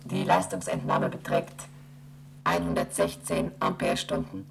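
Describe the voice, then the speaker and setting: A dutiful moon-station computer in a radio play, speaking extremely low with a rough, gravelly grain. It reels off figures and readings in a steady report while its pitch swings widely, over a faint hum. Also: male voice, unhurried, heavily acted. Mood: flat report. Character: male lunar station computer, flat and dutiful.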